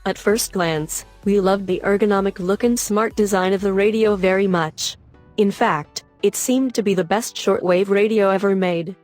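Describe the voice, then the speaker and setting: A woman's voice: medium pitch, a little mellow, dry recording. A woman reading scripted narration as the voice-over for a product review. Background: background music.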